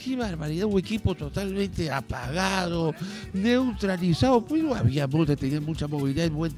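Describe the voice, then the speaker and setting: A man's voice: a little high, lively intonation, moderate pace, music in the background, close to an everyday voice. A man playing a dejected fan of the French team, complaining in mock-mournful tones. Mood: glum. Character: dejected middle-aged male French football fan.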